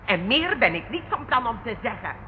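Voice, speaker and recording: An actor playing a sly, wheedling crook under police questioning in a radio play, with an oily, familiar manner. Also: male voice, extremely high pitch, big pitch swings, a little fast. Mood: defiant. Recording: muffled, noisy old radio recording.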